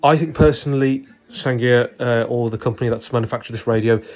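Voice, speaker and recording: A man giving a hands-on spoken product review in a hesitant, repetitive, measured but opinionated way. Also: mid-range voice, steady tone, a little mellow. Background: background noise and a radio.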